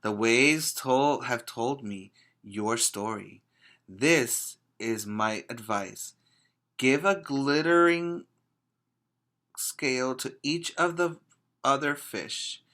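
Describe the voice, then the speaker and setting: Man as a slow, wise storybook octopus. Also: a bit bright. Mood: solemn. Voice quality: deep. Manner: slow, measured advice-giving. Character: wise female octopus, calm mysterious advisor.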